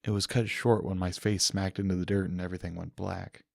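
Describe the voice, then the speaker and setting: A man's voice: deep voice, little pitch movement, a little fast, creaky, a little mellow, no echo, clean studio sound. A man narrating a first-person story as a dramatic audiobook reading.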